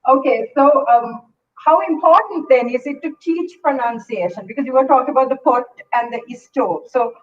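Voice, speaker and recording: A woman's voice: sits high, normal speed. A woman hosting an online interview, chatting and asking questions conversationally. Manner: conversational, anecdotal. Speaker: host, interviewer. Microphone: video call. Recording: distant, muffled online call audio.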